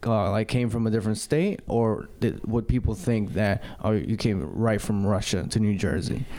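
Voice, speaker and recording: A man's voice: lowish voice, fairly even intonation, normal speed, dark tone. A man hosting a casual podcast chat, asking long, winding questions of his guest. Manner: asks long, rambling questions. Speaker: podcast host.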